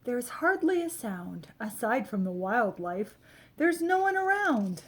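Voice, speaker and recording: A woman's voice: a little high, big pitch swings, measured pace, husky, full character voice. Woman reading as an enthusiastic storybook camper man. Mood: cheerful, reassuring. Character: cheerful, enthusiastic man camper talking to his dog.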